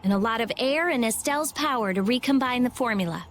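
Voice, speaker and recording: An actress voicing a brainy, blunt teenage girl mage in a video game, with a brisk, matter-of-fact, snappy delivery. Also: on the high side, lively intonation, slight background noise, close to an everyday voice. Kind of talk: animated game voice acting.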